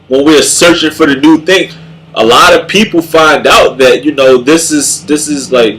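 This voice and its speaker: A young man speaks slowly through a stuffed-up nose, and his voice comes out nasal. He talks in a loose, reflective way, often repeats words and starts his sentences over. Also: medium pitch, fairly bright tone, rough recording. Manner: halting, many repeated words and restarts. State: congested, nasal. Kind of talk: casual spoken reflection on faith.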